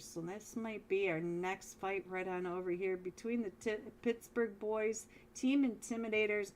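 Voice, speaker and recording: A woman casting a mobile esports match live as a solo caster, chatty and talking straight to viewers, with a voice she says is going hoarse. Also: medium pitch, slight background noise. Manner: chatty, talks straight to viewers, frequent restarts. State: losing her voice. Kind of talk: live game commentary.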